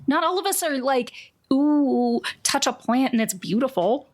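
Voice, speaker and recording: A woman voicing a casual, defensive but friendly teenage girl in a tabletop game, with halting informal talk. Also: sits high, animated, pitched above the performer's own voice.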